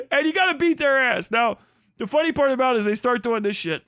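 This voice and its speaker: A man's voice: extremely high pitch, big pitch swings, moderate pace, fairly bright tone, dry recording, clean studio sound. A young man hosting a livestream, giving crude, mocking reaction commentary and laughing often. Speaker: livestream host. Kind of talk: profane live reaction commentary.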